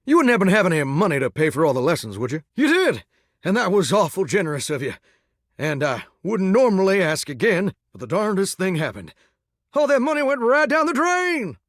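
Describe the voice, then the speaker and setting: Singing faucet character, folksy Southern American drawl, high voice, swooping theatrical delivery, old-fashioned showman heartthrob, video game. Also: huge pitch swings, fairly quick, fairly bright tone, no echo, clean studio sound, heavily acted. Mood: pleading, folksy.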